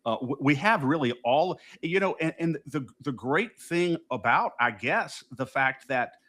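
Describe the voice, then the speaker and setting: A man's voice: a little high, animated, unhurried. A man, co-hosting a political talk show, talking emphatically and indignantly with frequent restarts. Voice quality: loud voice. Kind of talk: casual political discussion.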